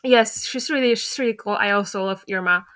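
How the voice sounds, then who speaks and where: A woman's voice: medium pitch, fairly quick, fairly bright tone. A woman hosting a casual interview, talking in an enthusiastic, effusive way. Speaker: interviewer, fandub creator.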